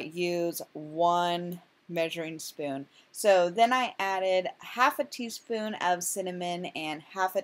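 A woman casually talking through her home cooking in a chatty, self-deprecating, rambling way, full of fillers. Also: lowish voice. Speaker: vlogger.

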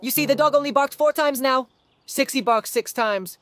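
A woman's voice: high voice, moderate pace, soft background music, close to an everyday voice. A woman voicing a sarcastic, scolding sibling creature in a kids' cartoon. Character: know-it-all sibling number creature, bickering. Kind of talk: cartoon voice acting.

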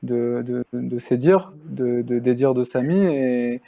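Male host, gravelly voice, halting questions, dull distant lo-fi audio. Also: mid-range voice. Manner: halting questions, brief acknowledgments. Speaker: interviewer, host. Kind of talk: interview questions.

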